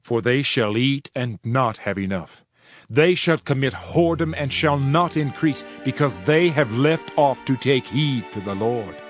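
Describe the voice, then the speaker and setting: Over prominent music, a solemn male prophet declaims scripture. His delivery is grave and measured, in a mid-range voice. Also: medium pitch, lively intonation, dry recording. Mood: stern, grave. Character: Hosea, solemn male Old Testament prophet. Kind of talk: dramatized King James scripture narration. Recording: music under the voice.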